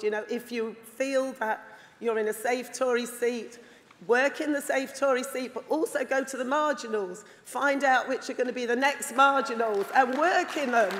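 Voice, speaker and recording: Hoarse woman delegate, earnest and chatty. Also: fairly high pitch, a bit bright. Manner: earnest, chatty, many ums. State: hoarse, lost voice from shouting. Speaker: party conference delegate. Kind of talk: conference floor speech. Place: large conference hall. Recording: long hall reverb with crowd murmur.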